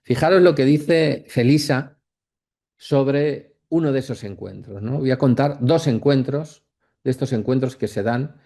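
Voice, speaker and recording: A man lectures in an academic masterclass. His voice sits at a medium pitch with a dark, relaxed tone. He thinks aloud in a reflective, halting way and punctuates his points with little check-in tags. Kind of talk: masterclass lecture, speaking off the cuff.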